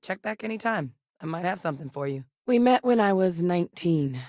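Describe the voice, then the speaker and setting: An actress voicing a seasoned, determined female military xenobiologist in a video game, speaking in a measured, earnest, matter-of-fact way. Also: fairly low pitch, expressive, darkish tone, no room sound, studio quality, lightly acted. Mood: measured, serious. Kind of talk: scripted game dialogue.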